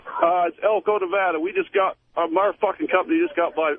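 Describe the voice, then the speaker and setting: A man's voice: high voice, steady tone, fast. A man working at a gold mine, calling a radio show and talking enthusiastically. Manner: enthusiastic, profane. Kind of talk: phone-in chat. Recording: tinny phone line with faint noise.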